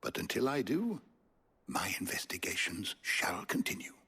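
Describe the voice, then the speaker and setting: A man's voice: low-pitched, sing-song, moderate pace, bright, forceful tone, breathy edge, exaggerated voice. An actor playing a bookish, kindly elderly male scholar in a video game, emotional and wordy, with florid, theatrical phrasing. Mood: grave, thoughtful.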